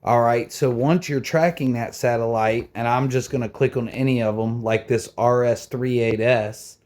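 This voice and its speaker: A man's voice: medium pitch, fairly even intonation, moderate pace, a little mellow. Casual man giving a how-to walkthrough. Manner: informal, conversational, explaining step by step. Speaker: tutorial host, radio hobbyist.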